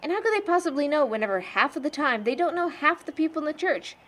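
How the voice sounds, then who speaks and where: A woman's voice: high-pitched, lively intonation, fast, a bit bright. A young woman playing a blunt, sardonic teenage churchgoing girl in an amateur audio drama, frank and slangy. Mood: indignant.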